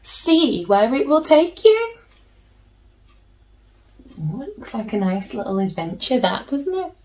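A woman in her thirties reading a picture book aloud to children in a gentle, deliberate manner, with chatty asides. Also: a little high, sing-song. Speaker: children's story reader. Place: small plain room indoors.

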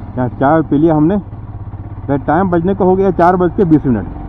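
A man, talking to viewers in a relaxed, chatty way as he rides his motorbike on a road trip. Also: on the high side, normal speed, narrow, tinny audio. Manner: chatty, addresses viewers as bhaiya. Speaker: motovlogger. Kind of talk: casual road-trip commentary. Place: outdoors on a highway, riding a motorbike. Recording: noisy road and traffic outdoors.

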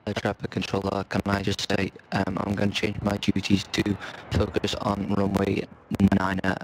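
A man's voice: on the low side, monotone, moderate pace, poor audio. A man making improvised air traffic controller calls in game voice chat, hesitant and subdued. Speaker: fellow player acting as air traffic controller. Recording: game voice chat with faint noise.

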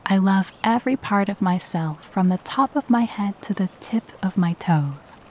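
A woman guiding a meditation in a soft, breathy voice, with a gentle, soothing and unhurried delivery. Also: medium pitch, lively intonation, a little hiss or hum. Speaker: meditation guide. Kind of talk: guided meditation with spoken affirmations.

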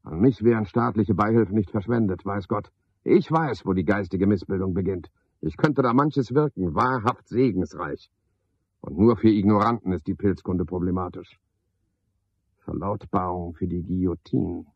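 Sardonic night porter, low husky voice, pedantic misanthrope, 1970s radio play, dull dry recording. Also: male voice, moderate pace, dry recording. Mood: scornful, ranting. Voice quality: husky, gravelly. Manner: pompous, sardonic, ornate wording. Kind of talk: 1970s German radio drama monologue.

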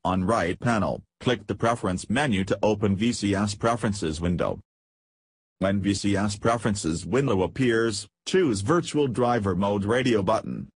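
A man reading a scripted step-by-step software tutorial as a narrator. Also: on the low side, moderate pace, slightly rough.

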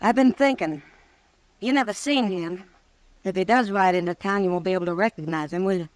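An American actress playing a worldly, caring frontier woman in an old-time radio western, familiar and gently concerned in manner. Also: medium pitch, brisk, no room sound, lightly acted.

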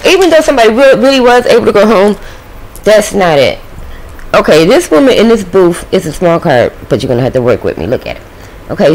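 A woman's voice: fairly low pitch, a little mellow, poor audio. A woman doing a casual tarot reading at a home table, chatting to the spirit and her viewers. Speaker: tarot reader.

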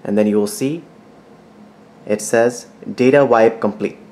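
A man's voice: mid-range voice, unhurried, a little mellow. A man giving step-by-step instructional narration as a tech tutorial presenter, in a plain, methodical, informal way. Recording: clearly audible background noise.

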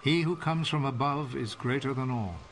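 A man narrating a biblical film in a measured, solemn, scripted style. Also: medium pitch, natural voice. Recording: film soundtrack with music behind. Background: music.

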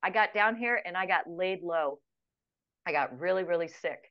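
A woman's voice: fairly low pitch, moderate pace, no echo. Woman in her 60s chatting on a webcam from home, muffled dull audio, dry sound, rambling storyteller with lots of ums. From United States. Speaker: guest, retired expatriate. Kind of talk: casual interview chat. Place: home room with plants, indoors. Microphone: video call webcam. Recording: muffled video call audio.

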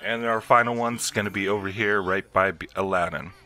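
A man's voice: on the low side, fairly even intonation, a little fast, pushed and bright. A man hosting a video game walkthrough, explaining each step in an instructional way. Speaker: gameplay video host.

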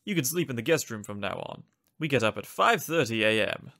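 Suave, creaky-voiced sinister gentleman. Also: medium pitch, very animated, brisk, slight background noise, exaggerated voice. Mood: gleeful, menacing. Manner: theatrical, smooth, mockingly polite. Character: suave, sinister, theatrical gentleman offering dubious bargains. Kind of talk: comic dub character acting. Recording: voice over background music.

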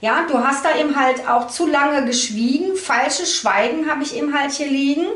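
A woman giving an informal card reading to camera, explaining in a halting way as she searches for words. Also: sits high, fairly bright tone. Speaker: tarot card reader. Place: indoor room at a table. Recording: roomy, echoey indoor sound.

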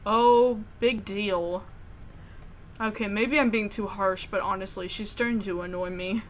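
A woman with a mid-pitched voice narrates a visual novel aloud. She is expressive and a bit sassy, slipping into casual commentary over faint noise and game ambience. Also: a little slow.

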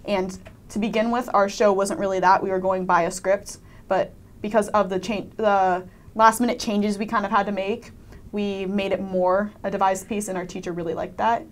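A woman's voice: mid-range voice, level tone, moderate pace. A teenage woman, a student actor, answering casually and informally in a panel interview. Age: teens. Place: small indoor studio. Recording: faint background room noise.

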